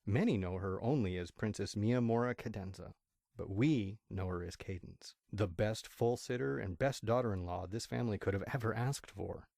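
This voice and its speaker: A man playing a proud, warm-hearted pony father making a measured, ceremonial speech in an amateur audio drama. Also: lowish voice, creaky, dry recording, clean studio sound. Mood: proud, affectionate.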